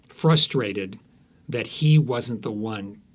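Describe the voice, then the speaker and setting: Interview guest, male author, average pitch, anecdotal and conversational, halting yet emphatic delivery.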